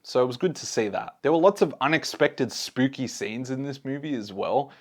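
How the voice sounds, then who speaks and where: A man's voice: mid-range voice, normal speed, a little mellow. A man hosting a casual movie review, speaking conversationally and giving his opinions to his viewers. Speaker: host, film reviewer.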